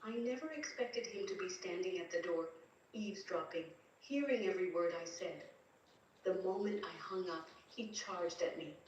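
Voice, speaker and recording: A woman's voice: lowish voice, normal speed, highs cut off, close to an everyday voice. A woman as a haunted, echoey, grieving wife. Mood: frightened. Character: terrified, grieving young wife recounting abuse from beyond death. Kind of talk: first-person dramatic story narration. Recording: distant, very echoey, faint noise.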